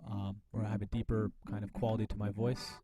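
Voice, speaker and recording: A man teaching an informal online class, demonstrating software step by step and thinking aloud in a halting, tentative way. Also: lowish voice, flat delivery, background noise. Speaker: music professor teaching a class. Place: online video class, voice through a microphone. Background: electronic music and synth tones.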